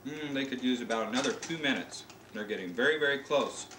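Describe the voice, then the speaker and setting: A man's voice: mid-range voice, fairly quick. American man hosting an echoey home cooking demo. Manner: playfully presenting, explaining step by step. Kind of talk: casual cooking demonstration. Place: home kitchen, indoors. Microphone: camcorder mic a few feet away. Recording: noisy, echoey home camcorder audio.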